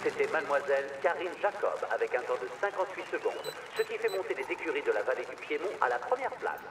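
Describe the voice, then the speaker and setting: A man's voice: a little high, very animated, fast talker, recorded from a distance. A man voicing a formal, ceremonious horse show announcer in a cartoon dub. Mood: formal announcing. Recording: echoey loudspeaker sound from afar, noisy.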